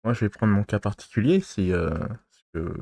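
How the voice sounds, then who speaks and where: Man from France, gravelly voice, halting monologue, loses his thread. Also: fairly low pitch, very animated, a little mellow, no echo. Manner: halting and rambling, with constant filler pauses. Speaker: vlogger giving dating advice. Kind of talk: casual to-camera monologue.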